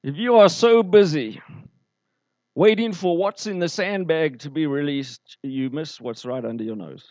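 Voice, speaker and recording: A man's voice: a little high, a little slow, darkish tone, dry recording, highs cut off. A man preaching a sermon, telling stories and asking the congregation questions. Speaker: pastor.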